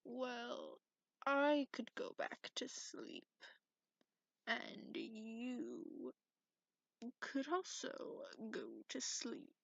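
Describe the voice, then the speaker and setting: Woman playing a slow, creaky, sarcastic sleepy dragon. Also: on the high side, very animated, a bit bright, no room sound, heavily acted. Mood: grudgingly indulgent. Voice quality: breathy, half-whispered, creaky. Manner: sarcastic, haughty, drawling with sighs. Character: cranky, sarcastic sleepy dragon guarding a hoard. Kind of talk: ASMR roleplay. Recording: muffled lo-fi close recording.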